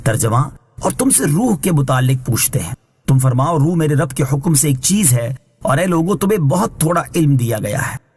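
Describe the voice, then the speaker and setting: A man reading scripted Islamic translation and commentary in a measured, formal, instructive way, as a scholar would. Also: on the high side, animated, a little mellow, husky. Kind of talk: scripted religious exegesis narration.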